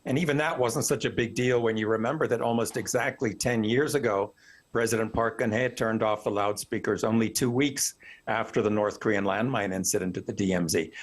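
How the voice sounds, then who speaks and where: A man's voice: fairly low pitch, fairly quick. A man speaking as an academic expert in a news interview, explaining his opinions articulately and analytically. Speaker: professor, expert news guest.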